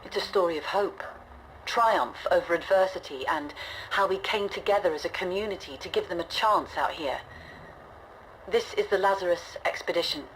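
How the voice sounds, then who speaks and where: A resolute, weary woman in command of a stranded starship , played by an actress, speaks in a low voice. Her delivery is measured, grave and reflective, like a scripted log in a science fiction game. A faint noisy ambience sits behind her words. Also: lightly acted. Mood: solemn farewell. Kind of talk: scripted audio log narration, dramatic game acting.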